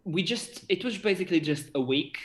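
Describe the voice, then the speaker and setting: A young man chatting casually as a theatre director in an interview, animated and often repeating words as he looks for phrasing. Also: sits high, brisk. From Portugal. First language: Portuguese. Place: indoor room on a video call. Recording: roomy, echoey video-call sound.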